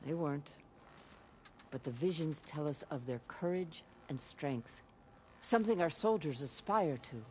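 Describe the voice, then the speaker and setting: Earnest game guide telling old clan legends, really lively pitch, bit of background noise. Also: male voice, unhurried. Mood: earnest, reverent. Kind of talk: dramatic game storytelling.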